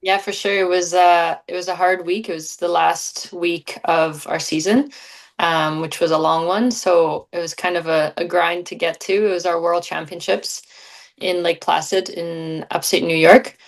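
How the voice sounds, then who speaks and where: A young woman from Brazil, a guest on a podcast, talking in a relaxed, casual way and laughing often. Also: on the low side, moderate pace. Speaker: guest athlete. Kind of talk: podcast interview.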